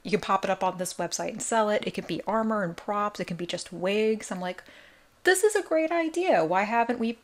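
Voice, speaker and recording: A woman co-hosting a podcast in casual chat, her voice raspy and tired from days of talking, speaking with quick enthusiasm. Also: medium pitch, expressive. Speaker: podcast co-host.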